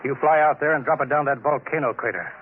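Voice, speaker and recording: An actor playing a brusque, domineering colonial administrator who bosses and threatens, in a 1950s radio drama. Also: male voice, medium pitch, fast, close to an everyday voice. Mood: grimly vengeful. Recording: old muffled radio recording with noise.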